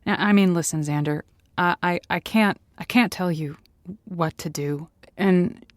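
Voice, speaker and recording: A woman's voice: on the low side, a little slow, dry recording, clean studio sound, close to an everyday voice. A woman playing a thoughtful, sympathetic host of a conversational call-in advice show, with a hesitant, stammering delivery. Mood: sympathetic, careful.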